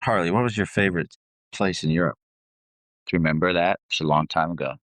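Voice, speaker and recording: A man's voice: low voice, quick pace, fairly bright tone, no echo. A man who sings in a band, chatting as a guest and telling tour stories in a laid-back, slangy, sweary way. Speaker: band vocalist, interview guest.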